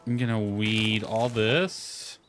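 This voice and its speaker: A man's voice: lowish voice, fairly even intonation, slow pace, a bit bright, faint music behind. A man chatting casually on a gameplay livestream, thinking aloud as he plays. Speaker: game streamer. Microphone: headset.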